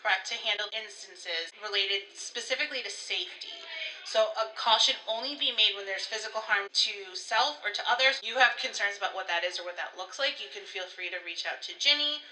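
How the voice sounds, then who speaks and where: A woman with a very bright, forceful voice talks through instructions like a trainer. She spells out each step in a conversational way and gives examples as she goes. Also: medium pitch, fairly quick, poor audio. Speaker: co-host, school staff trainer.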